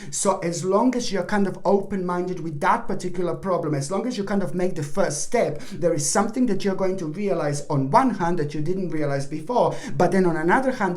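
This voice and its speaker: A woman with a voice giving a rambling tarot horoscope reading, often restarting and apologizing, while losing her voice and clearing her throat. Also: on the low side. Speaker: tarot reader.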